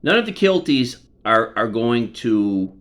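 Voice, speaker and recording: A man's voice: mid-range voice, very animated, a little slow, a bit bright. A middle-aged man in a personal phone conversation, insistent and argumentative. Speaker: son on a phone call with his mother.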